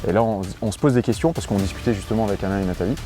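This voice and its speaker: A young male contestant with a lowish voice explains the situation quickly but calmly. Music plays clearly underneath. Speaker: race contestant. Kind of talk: casual interview commentary.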